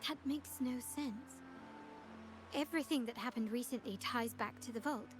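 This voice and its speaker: A woman playing a composed, shrewd young noblewoman of a crime family in a video game, speaking in a measured, formal way. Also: on the high side, background noise, natural voice. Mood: pensive, analytical.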